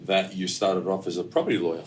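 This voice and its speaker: A man's voice: low voice, fairly even intonation, fast talker, a little hiss or hum. A man hosting a podcast, interviewing in a casual, chatty style.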